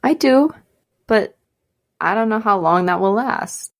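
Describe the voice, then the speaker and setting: A woman's voice: mid-range voice, lively intonation, unhurried. An actress playing a nervous, self-mocking young woman who feels queasy, in a radio play. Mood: anxious. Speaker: actor.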